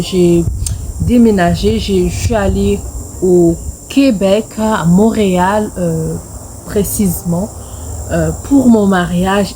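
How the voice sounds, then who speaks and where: A woman's voice: mid-range voice, mellow, a little hiss or hum, low-quality recording. A middle-aged woman with a Congolese French accent tells a personal story casually, repeating phrases for emphasis. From Congo-Brazzaville. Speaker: video storyteller.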